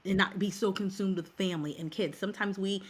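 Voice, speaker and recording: A woman's voice: medium pitch, steady tone, fast talker, breathy edge. A middle-aged woman who works as a career coach, talking as a guest in a podcast interview. She is emphatic and repeats words for stress.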